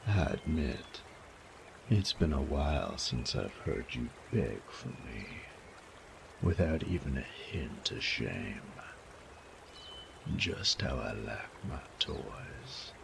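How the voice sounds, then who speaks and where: Man playing a very deep, gravelly bandit. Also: noisy background, heavily acted. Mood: commanding, seductive. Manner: slow, taunting drawl with folksy, profane phrasing. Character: sadistic male bandit, cocky, teasing, menacing rogue. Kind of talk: intimate roleplay audio drama.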